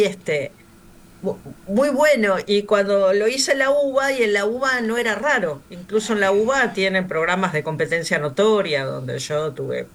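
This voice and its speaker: A woman in her sixties with a Río de la Plata accent, talking in a panel discussion in a chatty, anecdotal way with frequent fillers. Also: sits low. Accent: Río de la Plata Spanish. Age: 60s.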